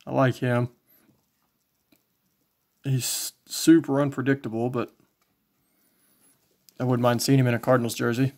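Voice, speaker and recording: A man casually commentating as he shows collectibles, in a low-key, folksy way, thinking aloud. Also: level tone, measured pace, long pauses, darkish tone. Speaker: trading card collector and video host. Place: indoors, small home tabletop setup.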